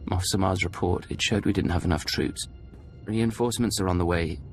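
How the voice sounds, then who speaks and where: An actor playing a hardened, defiant prisoner under interrogation in a video game, terse and grim. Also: male voice, deep voice, brisk, soft background music.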